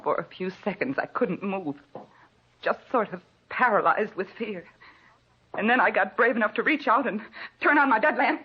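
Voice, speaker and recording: An actress playing a frightened, anxious wife writing in her notebook, with tremulous, halting delivery in a 1940s radio thriller style. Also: mid-range voice, husky, lightly acted. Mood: scared, hushed. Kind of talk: 1940s radio thriller acting, narrated diary. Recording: muffled, noisy old radio broadcast recording.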